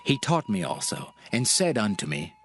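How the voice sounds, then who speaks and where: An actor playing a solemn, measured father instructing his son in a dramatized scripture reading, with music behind him. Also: lowish voice, sing-song. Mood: solemn counsel. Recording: loud music under the voice.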